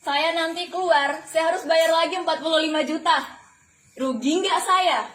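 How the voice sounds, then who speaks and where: A woman's voice: very high-pitched, moderate pace, a bit bright. A woman in her twenties giving a motivational testimonial to an audience, speaking emphatically with rhetorical questions and repetition. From the Philippines, Malaysia and Indonesia. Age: 20s. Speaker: flight attendant giving a testimonial. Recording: echoey, noisy room.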